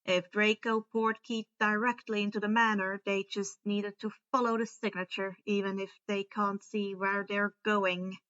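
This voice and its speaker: Woman reading as a sneering wizard professor, bright-toned. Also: medium pitch, level tone, moderate pace, hardly any pauses, dull audio, lightly acted. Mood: ashamed. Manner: sneering, curt. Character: sneering, sullen adult male wizard professor.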